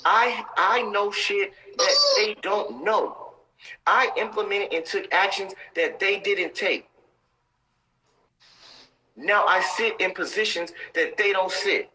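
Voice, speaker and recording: A man's voice: high-pitched, slow, bright, forceful tone, rough recording. A man in his thirties giving motivational talk on a live stream, intense and preachy, repeating his points for emphasis. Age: 30s.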